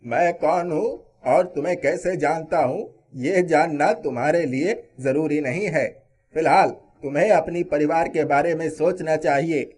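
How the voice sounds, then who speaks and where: A man playing a mysterious wise old man in an animated moral story, stern but helpful, with a measured, admonishing manner. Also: fairly high pitch, natural voice.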